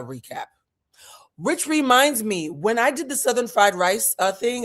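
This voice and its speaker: Husky-voiced, frank vlog host in their 40s. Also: mid-range voice. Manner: chatty, frank, swears freely. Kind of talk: casual impromptu chat.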